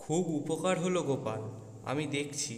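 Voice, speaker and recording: A man voicing a poor, honest, middle-aged labourer father in a cartoon moral tale, speaking gently and patiently. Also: on the high side, animated, normal speed, close to an everyday voice. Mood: earnest, humble. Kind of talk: Bengali moral-story cartoon dialogue. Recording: echoey long reverb, music behind.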